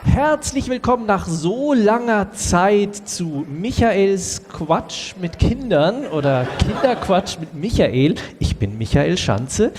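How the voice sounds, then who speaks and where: A man's voice: high voice, sing-song, takes it slowly, few pauses, exaggerated voice. A man playing an ageing, jovial children's TV host with curious, teasing questions in an improvised audio play. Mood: showy welcome. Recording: very echoey hall, clear background noise.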